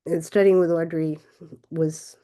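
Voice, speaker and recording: A hoarse older woman getting over a cold, answering interview questions hesitantly over a video call. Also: low voice, steady tone, a little slow, warm tone. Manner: hesitant, with many ums and restarts. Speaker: guest author.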